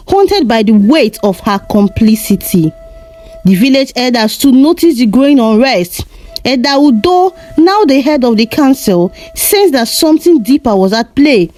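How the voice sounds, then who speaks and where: Female storyteller for scripted folktale narration, measured and solemn, higher register with animated intonation.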